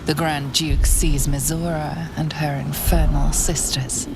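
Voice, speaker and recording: A woman playing a grave, portentous storyteller, giving measured scripted narration for a fantasy game. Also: sits low, level tone, heavy background noise. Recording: loud music behind voice.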